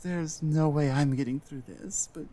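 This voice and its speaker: A woman playing an earnest, loyal warrior-healer companion in a fantasy ASMR roleplay, with a hesitant, halting delivery. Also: sits low, warm tone. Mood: anxious. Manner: hesitant, halting, with stammered restarts. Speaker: audio roleplay performer.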